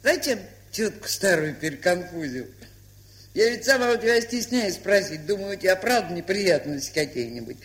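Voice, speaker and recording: A woman's voice: fairly low pitch, expressive, lightly acted. An older woman playing a kindly, chatty village aunt in an audio play, telling stories in a plain, folksy way. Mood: fond scolding. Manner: folksy, unhurried storytelling with fond scolding. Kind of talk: audio play monologue and dialogue. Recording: hissy, humming 1970s record.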